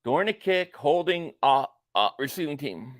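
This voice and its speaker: A man's voice: on the high side, animated, normal speed, dry recording, clean studio sound. A man in his late forties hosting a casual live stream, rambling and joking as he reads viewer comments aloud. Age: 40s. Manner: rambling, chatty, jokey asides. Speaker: live stream host reacting to a football game.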